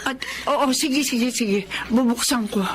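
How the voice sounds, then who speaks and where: A woman's voice: fairly high pitch, unhurried, noisy background, lightly acted. A woman acting as a concerned, easily startled middle-aged mother in a radio drama. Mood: startled, anxious.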